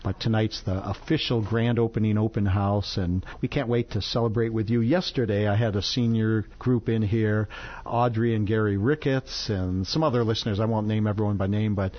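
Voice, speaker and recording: A man's voice: on the low side, steady tone, moderate pace, dull audio. A man hosting a radio show, giving chatty, upbeat event announcements. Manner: chatty and upbeat, laughs often. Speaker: radio host. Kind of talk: casual on-air announcements.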